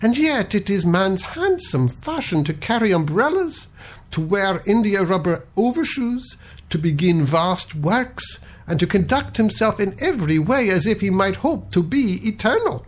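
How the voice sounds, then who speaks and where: A man voicing a calm, philosophical sea captain who reasons in a gentle, lofty manner. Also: sits high, measured pace, voice pushed up, full character voice. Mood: lecturing.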